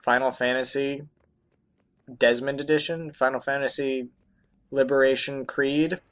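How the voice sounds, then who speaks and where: A man's voice: mid-range voice, little pitch movement, a bit bright, smooth. Joking man doing casual game commentary. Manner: joking, profane, halting. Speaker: Let's Play commentator. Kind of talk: casual gaming commentary with a co-host.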